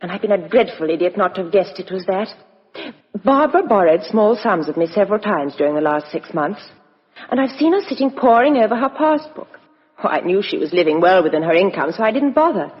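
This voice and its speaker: An actress playing a cool, outspoken, sharp-minded young Englishwoman in a 1950s radio drama. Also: medium pitch, very animated, fairly quick, natural voice. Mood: agitated, frank. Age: young adult. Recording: muffled vintage radio broadcast, reverberant studio.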